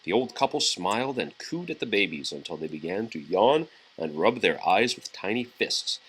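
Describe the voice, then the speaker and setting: A man's voice: lowish voice, sing-song, normal speed, a bit bright, breathy edge, poor audio. A man reading a children's picture book aloud as a story for a young child.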